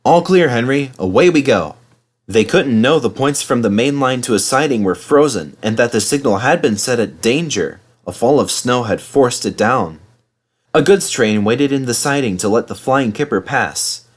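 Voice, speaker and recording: Medium-pitched man reading a children's storybook. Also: normal speed. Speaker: storybook reader.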